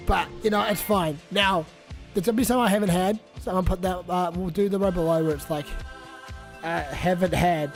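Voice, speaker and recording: Sick kiwi guy streaming, swears a lot, rambles, reads chat, kinda high voice. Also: fairly even intonation, fairly quick, long pauses, soft background music. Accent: New Zealand. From New Zealand. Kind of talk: casual live-stream chat.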